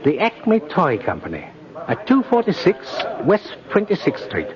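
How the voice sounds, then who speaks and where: An actor playing a kindly, principled old department-store Santa Claus in a 1950s radio drama, gently insistent and old-fashioned in manner. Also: male voice, high-pitched, huge pitch swings, measured pace, crowd noise, full character voice. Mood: kindly, assured. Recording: dull, noisy old radio recording.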